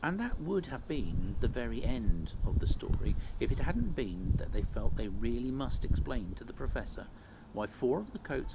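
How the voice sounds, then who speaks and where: A man reading a children's storybook aloud as expressive narration. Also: fairly low pitch, noisy background.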